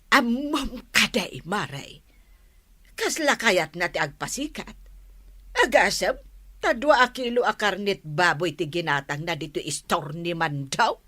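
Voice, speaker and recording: An actress playing a nosy, indignant village gossip in an Ilocano radio comedy drama, with an Ilocano accent and exclaiming banter. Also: female voice, on the low side, lively intonation, unhurried, sharp tone, no room sound, exaggerated voice. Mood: gossipy. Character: nosy, gossiping village woman who lingers at the store.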